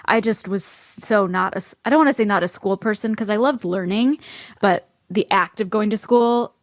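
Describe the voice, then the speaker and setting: A woman in her early thirties co-hosting a podcast, chatting casually with lots of "like" fillers and quick laughs. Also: mid-range voice, no echo. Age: 30s. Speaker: podcast co-host. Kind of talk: casual chat.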